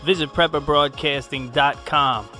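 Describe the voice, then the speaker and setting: A man's voice: a little high, steady tone, measured pace, sharp tone, soft background music. A man announcing a scripted network promo with punchy, list-like delivery.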